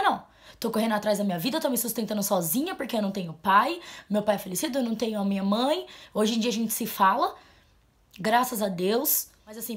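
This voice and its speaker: Blunt young woman venting at a camera. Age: 20s. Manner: blunt, emphatic, gestures a lot and swings from low to loud. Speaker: vlogger. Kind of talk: heartfelt vent to camera. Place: small bedroom, indoors. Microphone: camera mic at close framing.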